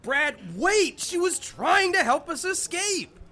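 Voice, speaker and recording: A man's voice: extremely high pitch, lively intonation, takes it slowly, heavy background noise, heavily acted. A man acting in an audio drama as a worried, conscientious young gang member who protests fretfully. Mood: alarmed, fretful.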